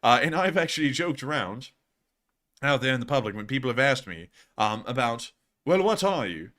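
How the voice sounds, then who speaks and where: Bright-voiced man with a put-on British accent. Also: mid-range voice, big pitch swings, normal speed. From United States. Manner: wordy, emphatic, formal flourishes. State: fatigued voice. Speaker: livestream host of a news channel. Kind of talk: livestream answering viewer questions.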